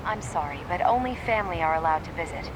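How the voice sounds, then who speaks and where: A woman's voice: lowish voice, animated, fast, background noise, close to an everyday voice. A woman playing a polite but unyielding front-desk receptionist in an English game dub, formal and courteous. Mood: polite, firm. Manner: formal, courteous, scripted-sounding.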